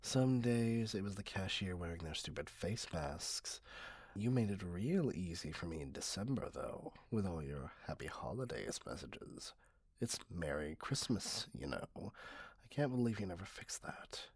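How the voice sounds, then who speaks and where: A man voicing an entitled, middle-aged, obsessive customer in an ASMR roleplay, husky, condescending and possessive, close to the microphone. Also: deep voice, very animated, a little slow, no echo, heavily acted. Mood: smug, doting. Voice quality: husky, often breathy. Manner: condescending, self-righteous, cloyingly possessive. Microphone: close, dry microphone.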